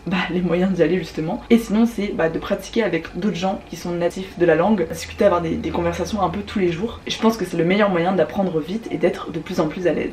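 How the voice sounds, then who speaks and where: Chatty young Frenchwoman, fast and slangy. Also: lowish voice, a little mellow, recorded from a distance, slight background noise. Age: young adult. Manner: chatty, slangy, many fillers and asides. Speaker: YouTuber recommending apps. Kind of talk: casual vlog chat with screen demo.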